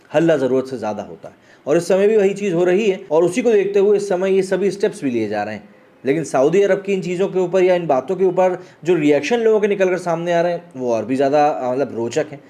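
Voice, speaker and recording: A man's voice: sits high, darkish tone. An Indian man presenting a news explainer, mixing formal news phrasing with colloquial asides. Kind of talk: news explainer commentary, reading out online reactions.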